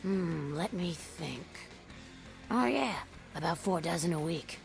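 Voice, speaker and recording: Gravelly, jaded diner waitress. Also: female voice, fairly low pitch, animated, faint music behind, exaggerated voice. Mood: sarcastic. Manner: dry, sardonic, unhurried. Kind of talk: video game voice acting.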